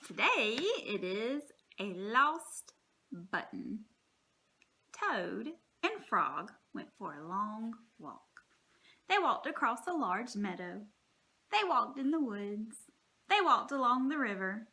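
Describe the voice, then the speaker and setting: A woman reading a children's story aloud like a teacher, expressive, with deliberate, emphatic phrasing. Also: on the high side.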